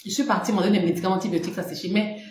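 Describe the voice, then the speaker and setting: A woman's voice: medium pitch, fairly even intonation, racing. A woman selling skin products on a live stream, chatting casually, laughing often and answering viewers' comments, with a runny nose. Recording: very echoey room with faint noise.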